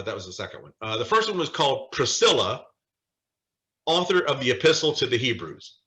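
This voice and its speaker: Bright-voiced man teaching informally. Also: medium pitch. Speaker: Bible study leader. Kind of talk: informal lecture with personal anecdotes. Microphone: video call.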